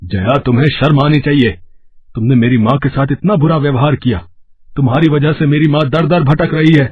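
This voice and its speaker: A man playing a stern son, husky and dry. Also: on the high side, fairly quick, dry recording. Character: grown son and husband, stern but decent. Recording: dull, dry lo-fi recording.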